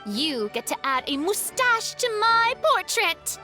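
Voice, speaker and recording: A woman's voice: very high-pitched, very animated, measured pace, bright, forceful tone, faint music behind, full character voice. A woman playing a theatrical, playful young artist in a video game cutscene, dramatically savoring her words. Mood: excited.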